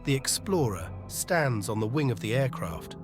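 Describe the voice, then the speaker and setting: A man reads scripted audio drama narration. His mid-pitched voice tells the story in an atmospheric, literary way, with faint music behind it. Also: sing-song, moderate pace.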